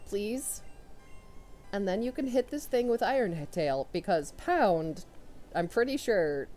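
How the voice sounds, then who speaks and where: A young woman commentating a video game run, loud and excitable, talking to her characters as she plays. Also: mid-range voice. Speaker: let's player. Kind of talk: casual gameplay commentary.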